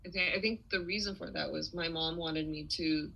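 A young woman from Taiwan speaks in a low yet bright, forward voice. She answers interview questions in a loose, chatty way, restarting her sentences and scattering fillers as she goes. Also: fairly even intonation, normal speed, gravelly, slightly rough, lo-fi sound. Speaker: musician guest.

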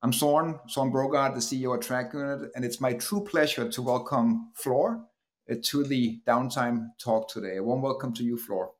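A man's voice: medium pitch, moderate pace. A man hosting a podcast interview, welcoming a guest and asking questions with friendly, hesitant restarts. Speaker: podcast host.

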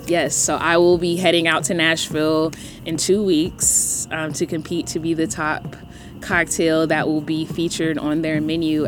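Chatty low-voiced woman, excited. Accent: Northeastern US with Southern phrasing. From North Carolina. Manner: enthusiastic, chatty, many ums. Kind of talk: casual podcast chat.

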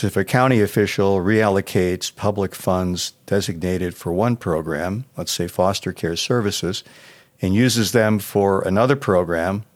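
A man with a low-pitched voice reads a short devotional in the role of pastor. His delivery is measured and instructive, following a prepared script.

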